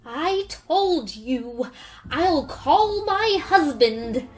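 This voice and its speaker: Woman as a wary American housewife, testy, high voice, swooping intonation, radio play. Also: animated, extremely slow, faint music behind, exaggerated voice. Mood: irritated, dismissive. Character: suspicious, testy small-town housewife. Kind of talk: radio drama acting.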